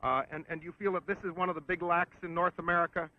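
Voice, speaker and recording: A man conducting a formal, polite sports interview on a 1960s film, with a slightly halting delivery. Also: sits high, level tone, no room sound. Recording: muffled 1960s film soundtrack.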